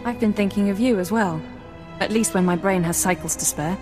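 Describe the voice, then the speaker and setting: A woman plays a dedicated intelligence officer in a video game, her measured, dry delivery kept composed. She sits at a middle pitch for a woman, with a long reverb and faint music behind. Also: natural voice. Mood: tender.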